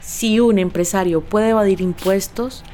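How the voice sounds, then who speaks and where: A woman's voice: mid-range voice, measured pace, dark tone. A woman giving spoken documentary testimony in a scripted style. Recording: noticeable urban background noise.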